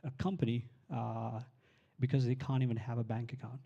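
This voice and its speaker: A man with a very dark, mellow voice presents his tech project at a live event. He explains step by step in plain terms, often pausing on fillers and restarting his sentences. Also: medium pitch, flat delivery, gravelly, dull audio. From Sri Lanka. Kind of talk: live conference talk.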